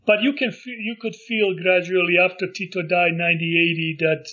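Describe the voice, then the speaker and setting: A middle-aged man from Montenegro, recalling his life in a casual interview and speaking haltingly with many fillers and restarts. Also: sits high, fairly even intonation, bright, forceful tone, highs cut off. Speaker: interview guest.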